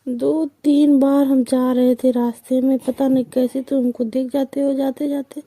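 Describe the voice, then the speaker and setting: Hesitant, questioning young female, played by a woman for a phone drama; eastern Hindi-belt accent, high pitch, close dry sound. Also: little pitch movement, unhurried, mellow, no room sound. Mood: reluctant.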